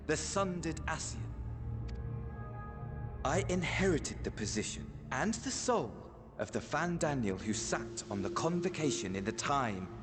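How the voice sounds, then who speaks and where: A sinister, amused male sorcerer from a game cutscene. His higher-set voice drawls slowly and theatrically, lingering on coy pauses over background music. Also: big pitch swings. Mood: smugly musing. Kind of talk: video game cutscene acting.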